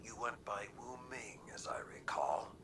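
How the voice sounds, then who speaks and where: A man playing an ancient, stern, weary warrior lord with a breathy growl, measured and brooding, in a video game cutscene. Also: lowish voice, lively intonation, noisy background. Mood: brooding, accusing.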